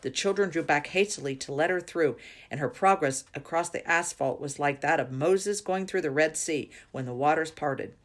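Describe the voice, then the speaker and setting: A woman reading a children's story aloud in an expressive narrating style. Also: deep voice, normal speed. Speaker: reader.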